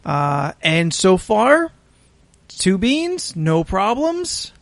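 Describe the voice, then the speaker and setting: A man with a high, creaky voice hosts a casual comedy podcast. He chats loosely and jokingly, and the sound is dry and close. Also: very animated, drawn-out words, no room sound. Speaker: podcast host.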